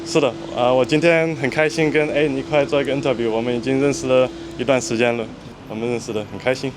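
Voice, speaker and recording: A man from Germany, answering interview questions in a calm, measured, matter-of-fact way. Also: medium pitch, noisy background. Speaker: interview guest.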